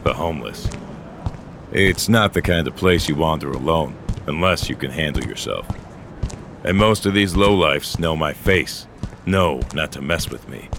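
Weary old courier, extremely low voice, brooding, sardonic, noir radio play, faint ambient noise behind. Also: male voice, lively intonation, a little slow, creaky, heavily acted. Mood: grim, hard-boiled. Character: weary, bitter old sci-fi courier, gruff, sardonic loner. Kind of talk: first-person noir audio drama acting.

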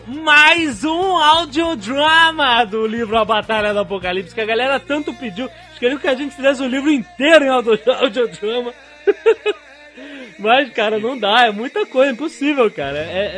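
A man's voice: extremely high pitch, bright, forceful tone. A Brazilian man co-hosting a casual comedy podcast, jokey and exclamatory, chatting and reading out listener mail. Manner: jokey, exclamatory, prone to laughing. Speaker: podcast co-host.

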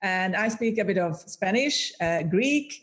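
A woman's voice: medium pitch, lively intonation, measured pace. A woman from Hungary, a native Hungarian speaker with a Hungarian accent, talking upbeat and searching for words as a guest from her home office. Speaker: podcast agency owner, guest. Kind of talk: casual remote interview.

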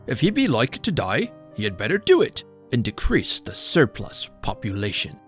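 A man's voice: mid-range voice, wildly swooping pitch, moderate pace, faint music behind. A man doing an old miser pleading. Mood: cold. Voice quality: pleading, urgent.

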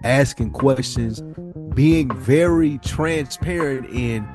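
A man's voice: medium pitch, slow, over music. A man co-hosting a podcast in casual conversation, speaking emphatically with slang and often rephrasing his points. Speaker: podcast co-host.

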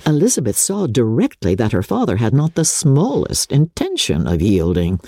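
Woman narrating a classic novel, mellow slightly husky voice, measured but expressive storytelling. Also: extremely low pitch, no room sound. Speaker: audiobook narrator. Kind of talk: dramatic literary narration.

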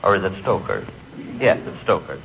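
A hapless, literal-minded middle-aged man narrates in deadpan 1940s radio style. His voice is low with lively swings of pitch. The recording is dull and clearly noisy. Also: moderate pace, exaggerated voice. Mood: storytelling. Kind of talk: 1940s radio comic monologue.

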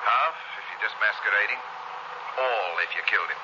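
An actor playing a shrewd, brazen ship's purser turned blackmailer in a 1960s radio suspense play, speaking in terse, insinuating phrases. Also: male voice, lowish voice, fairly quick, lightly acted. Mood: menacing, cool. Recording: muffled, noisy old radio recording.

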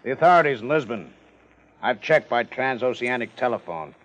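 Male actor as police official, dry, precise, formal, lively intonation, dull vintage radio sound. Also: mid-range voice, moderate pace, close to an everyday voice. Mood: stern, official. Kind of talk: 1950s American radio drama acting. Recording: dull old 1950s radio recording.

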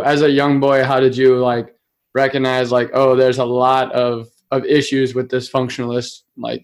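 Young man asking loose, searching questions. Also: medium pitch. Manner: searching, many "like" fillers. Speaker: podcast host. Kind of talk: casual interview questions.

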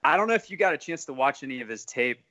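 A man's voice: mid-range voice, expressive, fast talker, pushed and bright. A man co-hosting a podcast, casually discussing sports and putting questions to a guest. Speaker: podcast co-host asking questions.

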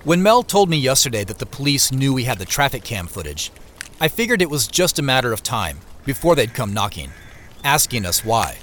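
An actor narrating an audio drama in the first person as a man telling of his troubled day, wry and measured. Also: mid-range voice, sing-song, a bit bright, slight background noise. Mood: wry, uneasy.

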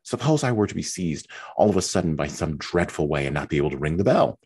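A man's voice: low voice, animated, quick pace, a little mellow, husky, heavily acted. A man reading a novel aloud, voicing a fretful, self-pitying young married woman who whines and complains. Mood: complaining. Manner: whiny, complaining.

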